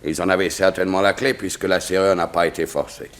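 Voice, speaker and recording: Man playing dignified court chamberlain, low male voice, formal, precise wording, 1960s French radio drama. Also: fairly even intonation, quick pace, close to an everyday voice. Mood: grave, formal.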